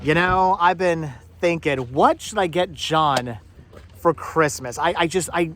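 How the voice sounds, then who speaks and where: A middle-aged man hosting a casual thrifting vlog, chatting haltingly and often repeating his words. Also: a little high, fairly bright tone. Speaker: vlog host. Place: out and about at flea market.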